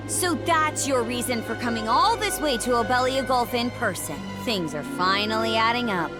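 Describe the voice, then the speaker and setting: A woman's voice: on the high side, lively intonation, moderate pace, natural voice. An actress playing a proud, blunt, determined young warrior woman in a video game, speaking in a tough, earnest, defiant way. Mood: bitter. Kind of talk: dramatic video game voice acting. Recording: game music under the voice.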